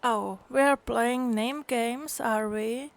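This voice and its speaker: A woman voicing a calm, wry young adventurer in a video game, with an understated, dryly teasing manner. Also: a little high, drawn-out words.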